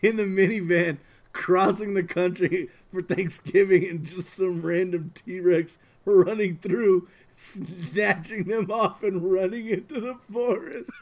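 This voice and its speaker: A man's voice: sits high, steady tone, slow pace. A man chatting casually as a podcast co-host, telling jokey, rambling stories. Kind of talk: casual chat.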